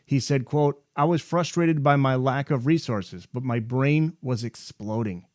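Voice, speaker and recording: A man's voice: medium pitch, a little slow, a little mellow, dry recording, studio quality. A man hosting a music interview podcast, moving between prepared introductions and questions to his guest. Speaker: podcast host interviewing an author.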